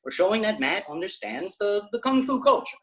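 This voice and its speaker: A man's voice: high voice, sing-song, a little fast, gravelly, fairly bright tone, full character voice. An actor reading a scheming teen boy who directs his own film, bossy and hammy. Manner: bossy director, hammy mock kung-fu dub.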